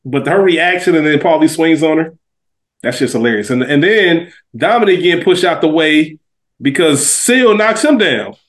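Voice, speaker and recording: A man's voice: fairly high pitch, expressive, moderate pace. A man co-hosting a podcast in casual chat, blunt and slangy with frequent swearing. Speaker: podcast co-host. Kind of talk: casual chat reviewing a TV series.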